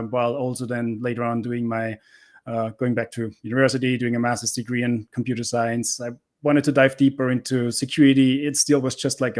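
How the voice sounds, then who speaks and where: Middle-aged man, mid-pitched. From Austria. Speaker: interview guest, cybersecurity instructor. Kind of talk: casual conversational interview answers.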